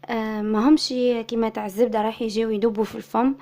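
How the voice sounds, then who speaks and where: A woman with an Algerian Arabic accent who is slightly hoarse from illness, calmly explaining a recipe as a cooking-channel host. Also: a little high, racing. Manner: soft, subdued, steady explaining. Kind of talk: recipe tutorial voice-over.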